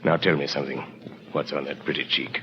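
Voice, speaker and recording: An actor playing a tender, protective older father, speaking softly and with measure in 1930s radio drama style. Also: a bass voice, flat delivery. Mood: tender, concerned. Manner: soft, measured, coaxing. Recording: muffled, faintly noisy 1937 radio broadcast.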